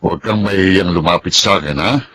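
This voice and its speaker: A supportive older captain in a radio soap opera. He speaks low and frankly, advising like a mentor, over a faintly noisy background. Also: fast, a bit bright. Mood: fatherly, confiding. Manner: advising, confiding. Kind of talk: Filipino radio drama acting.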